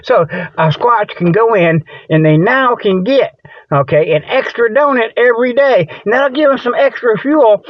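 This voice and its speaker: A man's voice: very high voice, moderate pace, dry recording. A man hosting a comedic radio show in a rambling monologue, with folksy colloquial grammar and frequent little tag phrases. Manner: repeats "okay" and "you know" as tags. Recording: dull lo-fi radio-like sound.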